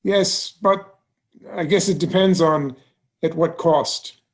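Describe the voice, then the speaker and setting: A man plays a seasoned small-town bank president with a fairly high voice. He is genial and courteous, speaking in a measured, avuncular way, as in a stage script read aloud. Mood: thoughtful, cautious. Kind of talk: musical theatre table read.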